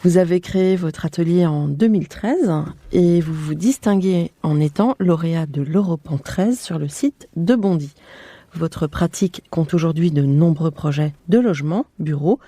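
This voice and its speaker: A woman's voice: deep voice, normal speed, dark tone, no echo. A French woman hosting an interview podcast, presenting guests and putting questions to them. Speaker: podcast host. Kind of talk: scripted introduction and interview questions.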